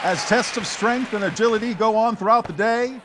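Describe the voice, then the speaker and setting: A man's voice: high voice, fairly quick, a crowd in the background, background noise. A man narrating dramatically in the scripted style of a 1940s radio serial, theatrical and suspenseful.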